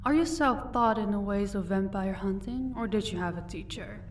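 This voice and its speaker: A powerful vampire mistress played by a woman speaks from a little way off the microphone at a medium pitch. She is condescending and commanding, mocking her listener with unhurried composure, as in an intimate audio drama, over faint noise. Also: distant mic, lightly acted. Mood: cool, commanding. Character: powerful female vampire, mocking, commanding, married lady of an estate. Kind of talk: audio roleplay acting addressed to the listener.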